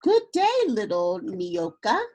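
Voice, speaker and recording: A woman voicing a kind, gentle young daughter in a storybook, sweet and welcoming. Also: on the high side, huge pitch swings, slow, heavily acted. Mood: tender greeting.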